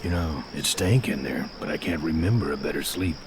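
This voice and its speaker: Very deep, deadpan biker hero. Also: male voice, a little fast, background noise. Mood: dry. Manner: terse, deadpan. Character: tough laconic biker hero, adult man. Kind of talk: video game voice acting. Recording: music behind the voice.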